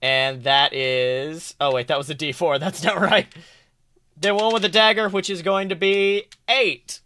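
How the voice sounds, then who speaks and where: A man's voice: on the high side, fairly even intonation, measured pace, sharp tone. A man casually running a tabletop game as game master, teasing and joking as he narrates. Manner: teasing, jokey. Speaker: game master, podcast host.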